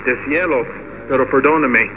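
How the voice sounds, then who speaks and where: Man speaking halting, Spanish. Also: medium pitch. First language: English. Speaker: radio pastor. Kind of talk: church ceremony speech. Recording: muffled with background noise.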